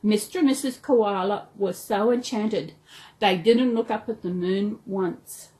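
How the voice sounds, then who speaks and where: A woman with an Australian accent reads a children's story aloud in an animated way, stumbling now and then over words. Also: mid-range voice, measured pace, poor audio. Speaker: storyteller, channel host.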